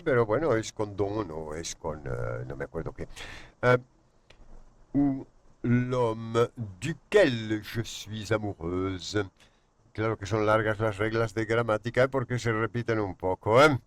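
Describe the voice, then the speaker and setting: A man whose first language is Spanish, teaching a French grammar lesson. He reads the rules slowly, then drifts into quick joking asides. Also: on the low side.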